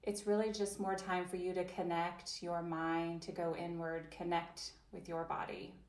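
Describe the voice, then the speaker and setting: A woman voicing an interpreted guided chair yoga session, speaking slowly and gently, with long pauses and soft invitations. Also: fairly low pitch, flat delivery, roomy sound.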